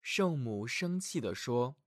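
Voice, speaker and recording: A man narrating a scripted fairy tale for small children as their storyteller, in an expressive, affectionate manner. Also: mid-range voice, slow pace, no echo, clean studio sound.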